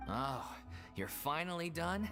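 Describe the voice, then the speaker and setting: A man's voice: a little high, very animated, slow pace, vocal fry, fairly bright tone, heavily acted. A man playing an easygoing, loyal young stage actor in video game dialogue, casual but heartfelt. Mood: playful. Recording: faint game music behind voice.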